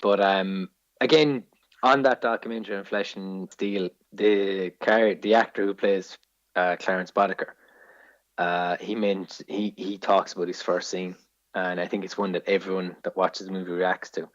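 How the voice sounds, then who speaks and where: A man's voice: low voice, steady tone, normal speed, no room sound, dull audio. A man co-hosting a podcast, casually telling film anecdotes with swearing and acted-out quotes. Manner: sweary storyteller, acts out quotes. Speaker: podcast co-host.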